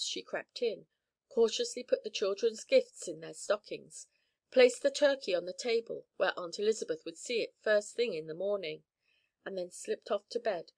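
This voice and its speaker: Audiobook narrator, woman, gentle and soothing, mid-range pitch. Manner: calm, gentle, soothing reading. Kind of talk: scripted story narration.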